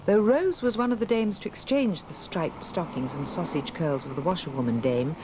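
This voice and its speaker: A man narrating a documentary in a measured, wry tone. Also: on the high side, background noise.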